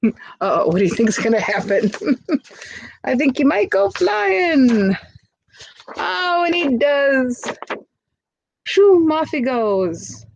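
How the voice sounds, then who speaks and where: A woman reading a picture book aloud expressively, pausing to chat to young listeners with questions and asides. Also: sits high, sing-song, gravelly, slight background noise, low-quality recording. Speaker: storybook reader.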